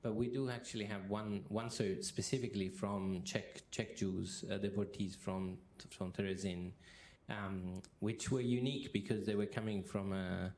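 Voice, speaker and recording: Low flat-voiced male interviewer. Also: normal speed, hardly any pauses, a little hiss or hum. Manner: hesitant, many fillers and restarts. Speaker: interviewer, history professor. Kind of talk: moderating a public interview. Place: university hall with an audience.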